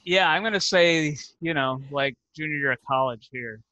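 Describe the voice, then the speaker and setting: A man's voice: on the high side, normal speed, bright, forceful tone, no room sound, muffled recording. A man hosting a casual chat on a video call. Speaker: show host.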